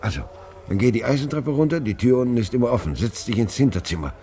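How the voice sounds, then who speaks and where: A man's voice: medium pitch, animated, quick pace, mellow, close to an everyday voice. An actor playing an anxious, protective middle-aged father who speaks in short, terse sentences in a German radio play. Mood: urgent, hushed. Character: protective, anxious father hiding his worry from his wife.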